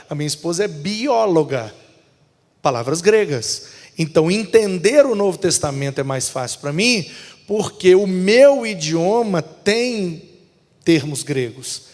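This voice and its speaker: A man's voice: high-pitched, darkish tone. Man teaching a bible class in a reverberant room. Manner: explanatory, asks himself rhetorical questions. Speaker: pastor teaching a hermeneutics class.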